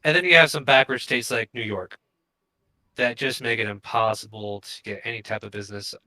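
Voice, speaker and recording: A man co-hosting a casual discussion, giving firm opinions in halting, unscripted phrases. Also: medium pitch, pushed and bright, low-quality recording. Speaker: co-host of a crypto show.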